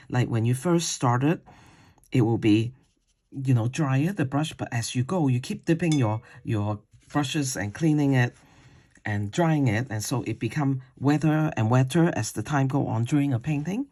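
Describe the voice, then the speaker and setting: A man with a deep voice teaching a watercolor paint-along. He talks slowly while he paints and often checks in with "okay?". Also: a little mellow. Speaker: watercolor instructor. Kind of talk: paint-along tutorial demo.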